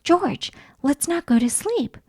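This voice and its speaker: An eager little girl pig from a children's storybook, voiced by a woman reader. The voice is high and swoops with excitement, curious and wide-eyed, in a close, dry recording. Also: takes it slowly, no room sound, full character voice. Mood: determined. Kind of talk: children's storybook read-aloud.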